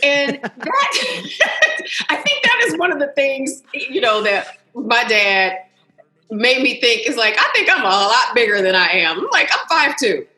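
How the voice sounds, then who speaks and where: An American woman in her early fifties chatting casually and animatedly on a video call, telling family anecdotes as a talkative podcast guest. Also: fairly high pitch. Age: early 50s. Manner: animated, talkative, quick to laugh. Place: indoors, on a Zoom video call. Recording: roomy, echoey video call.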